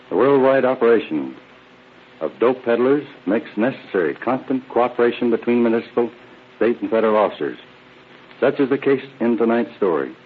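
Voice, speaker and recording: A man giving a formal, measured scripted radio address as a police captain introducing a case. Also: medium pitch, slightly rough. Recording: narrow, lo-fi 1930s radio recording.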